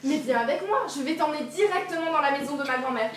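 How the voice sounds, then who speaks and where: A woman's voice: sits high, animated, fast, noisy background. A teenage girl playing a trusting little girl who chatters eagerly and repeats herself, in a school play. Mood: innocent. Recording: echoey hall from far off.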